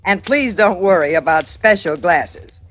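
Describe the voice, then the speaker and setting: A woman giving genteel, measured advice as a spokeswoman in a scripted 1940s radio advertisement. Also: on the low side, lively intonation, fairly bright tone. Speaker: sponsor's hospitality spokeswoman. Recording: noisy old radio broadcast.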